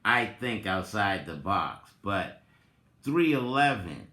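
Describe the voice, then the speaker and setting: Male reader, lowish pitch, extremely slow theatrical delivery with long pauses, echoing room. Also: big pitch swings, sharp tone. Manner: theatrical, deliberate, drawn-out phrasing with long pauses. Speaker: reader and commentator, presenter of the recording. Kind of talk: dramatic reading with informal asides. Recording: roomy, echoing sound.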